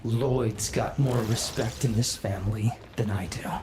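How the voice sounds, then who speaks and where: A man's voice: fairly low pitch, fairly even intonation, a little slow, echoey room, background noise, lightly acted. A man playing a bitter, self-pitying, aggrieved son in a video game, brooding and sardonic.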